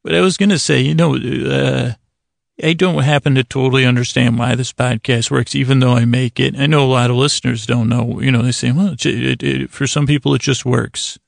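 Creaky-voiced man rambling softly to soothe. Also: mid-range voice, steady tone, no echo, clean studio sound. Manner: meandering, digressive, halting with pauses; soothing, lulling delivery. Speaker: podcast host. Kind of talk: rambling bedtime sleep podcast monologue.

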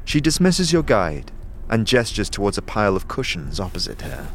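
Low-pitched man, expressively narrating dark fantasy. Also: sing-song, moderate pace, slight background noise. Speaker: narrator and game storyteller. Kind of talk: atmospheric scripted fantasy narration.

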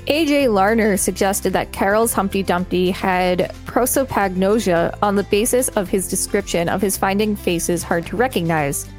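A woman's voice: medium pitch, moderate pace, few pauses, a little mellow, faint music behind. A woman co-hosting a podcast, reading an encyclopedia article aloud with casual commentary. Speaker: podcast co-host.

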